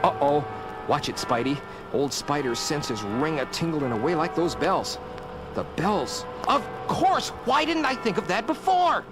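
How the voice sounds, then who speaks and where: A man playing a young, wisecracking superhero in an audio drama, earnest and animated. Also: fairly high pitch, unhurried, music in the background. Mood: thinking aloud. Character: young wisecracking male superhero and news photographer.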